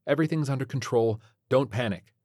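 A weary female spaceship officer, read by a man, lifted slightly high. She is sardonic, firm and deadpan, a curt storybook heroine recorded dry and close. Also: fairly quick, dry recording, natural voice. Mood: exasperated.

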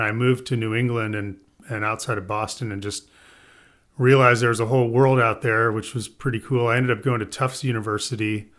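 A man who grew up in the Midwest, a winemaker talking as a podcast guest in a relaxed, rambling way. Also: fairly low pitch. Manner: relaxed, rambling, with fillers and laughs. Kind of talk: casual interview chat.

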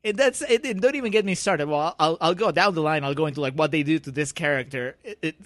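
High-voiced Peruvian man, sarcastic and argumentative. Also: fast, a bit bright, dry recording. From Peru. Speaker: podcast co-host. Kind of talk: casual film debate.

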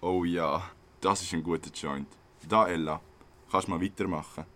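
A man's voice: sits low, steady tone, moderate pace, a bit bright. A man playing a laid-back, sociable young stoner in a contemporary radio play.

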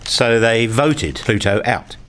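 A man's voice: mid-range voice, takes it slowly, a bit bright. An actor playing a curious, wry young male academic with articulate, bantering delivery in a radio play. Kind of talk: radio play dialogue and first-person narration.

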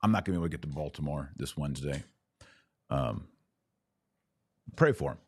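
A man with a low-pitched voice hosts a live show in a conversational, direct style. He urges his listeners along as he moves through comments and commentary. The sound is dry, with very little room in it. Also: expressive, a little fast, slightly rough, no echo. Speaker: podcast host. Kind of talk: casual live commentary, reading and answering viewer comments.

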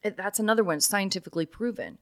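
A woman with a naturally raspy voice, hoarse right now, talking casually and earnestly as a solo podcast host. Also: mid-range voice, fast, no echo, clean studio sound. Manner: encouraging, earnest, many "right?" asides.

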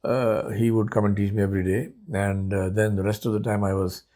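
An older man in his seventies from India, his first language Urdu, speaks in a fairly low voice. He talks through his solo podcast in an unhurried, reflective way. He often pauses on "uh" and restarts his sentences. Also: flat delivery, mellow. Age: 70s. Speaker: podcast host.

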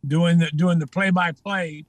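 An older man with a Texan accent tells stories as a guest, laughing often. His voice sits high for a man and his voice is slightly changed by breathing trouble. The sound is dry and close. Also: steady tone, unhurried, no room sound. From Texas. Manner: laughing, anecdotal storytelling. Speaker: guest, former TV news anchor. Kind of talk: casual interview.

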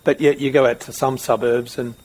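A man's voice: mid-range voice, little pitch movement, fairly quick, a little mellow, slight background noise. A middle-aged Australian man interviewing in a conversational, reflective way, circling around his questions.

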